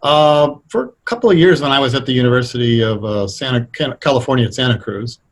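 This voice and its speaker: A middle-aged or older American man explaining things conversationally as an interview guest, thoughtful and halting as he picks his words. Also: medium pitch, animated. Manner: hesitant, thoughtful, halting delivery. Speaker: interview guest, radio playwright.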